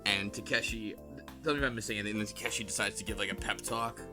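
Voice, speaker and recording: A man's voice: lowish voice, racing. A man hosting a casual chat, recapping a story loosely with jokes, swearing and hesitations. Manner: loose, joking, swears and laughs. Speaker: podcast host. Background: game music.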